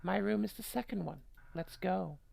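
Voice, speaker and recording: Very creaky, irreverent woman narrating a game. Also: medium pitch, normal speed, darkish tone. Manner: irreverent, joking, sweary. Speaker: video game streamer reading aloud. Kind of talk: game playthrough, narration mixed with casual commentary.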